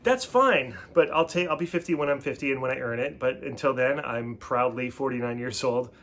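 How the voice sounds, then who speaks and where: A man in his forties talks easily as a channel co-host, his voice sitting at an ordinary middle pitch. He wanders through explanations, stopping and restarting as he goes. A faint hiss of background noise runs under the recording. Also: brisk, fairly bright tone. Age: 40s. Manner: rambling, self-interrupting explanations. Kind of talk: casual chat.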